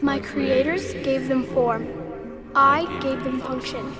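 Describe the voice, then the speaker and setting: A woman playing an ancient, calm and superior machine intelligence in a video game, speaking in a measured, formal way. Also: high-pitched. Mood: cold, certain. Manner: measured, formal, detached, didactic. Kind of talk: video game cutscene acting. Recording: loud music behind the dialogue. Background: loud game score.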